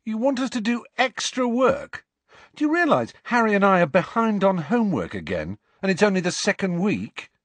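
A man's voice: high-pitched, sing-song, normal speed, dry recording, pitched above the performer's own voice. A man voicing a grumbling, sarcastic teenage boy.

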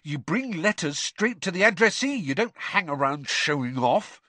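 A man's voice: on the high side, sing-song, normal speed, bright, forceful tone, no echo, pitched above the performer's own voice, exaggerated voice. A man voicing a snappy, irritable teenage schoolboy.

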